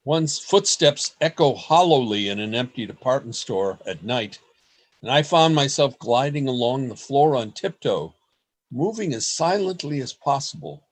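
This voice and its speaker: A man playing an anxious writer narrating his secret diary in a radio play, in a deliberate storytelling manner. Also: medium pitch.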